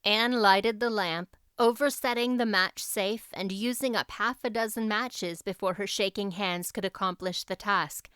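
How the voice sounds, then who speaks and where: A woman reading a novel aloud as an audiobook narrator, in expressive scripted storybook style. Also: mid-range voice, measured pace, hardly any pauses, clean tone, dry recording, studio quality.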